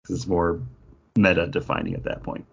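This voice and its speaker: A man with a husky voice explaining things informally as a podcast co-host in casual chat. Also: deep voice, very animated, brisk, muffled recording. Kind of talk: casual chat about games and comics.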